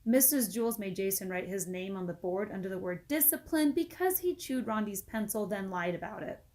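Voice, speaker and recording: A woman narrates a children's book aloud. Her mid-pitched voice carries the story expressively, in the manner of a read-aloud for pupils. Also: expressive, fairly quick, warm tone. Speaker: storybook reader.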